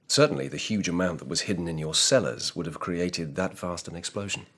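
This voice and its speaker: A very deep, hoarse-edged old lawman of an imperial court in a radio play. He is grave and deferential, choosing his words with formal care. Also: a little fast, fairly bright tone. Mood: formal, cautious. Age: older. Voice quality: gravelly, husky. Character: grave, dutiful ageing Japanese court policeman, physically frail. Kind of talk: radio drama acting.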